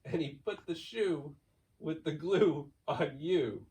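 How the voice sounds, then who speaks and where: A man's voice: fairly high pitch, slow, a little mellow, recorded from a distance, rough recording. A man giving a playful, theatrical read-aloud of a children's picture book, stretching key words and racing through piled-up lists. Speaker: school staff member reading a children's book aloud.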